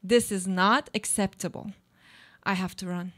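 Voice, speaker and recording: A woman's voice: medium pitch, fairly even intonation, measured pace, fairly bright tone, natural voice. A woman playing an aloof, self-absorbed woman in a live radio play, speaking formal, literary monologues. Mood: defiant. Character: aloof, self-absorbed woman clinging to her comfortable life.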